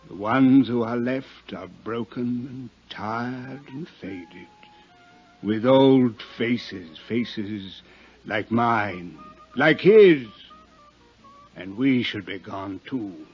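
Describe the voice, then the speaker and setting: A man's voice: mid-range voice, extremely slow, breathy edge, faint music behind. An actor playing a drunk, world-weary old man with formal, florid phrasing in a 1950s radio drama. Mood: melancholy, bitter. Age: older. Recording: muffled 1950s radio recording.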